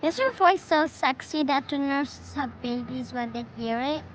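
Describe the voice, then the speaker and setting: A woman's voice: high-pitched, normal speed, slight background noise, lightly acted. A woman playing a curious, cheeky six-year-old boy in an audio drama, with blunt, earnest, childlike questioning.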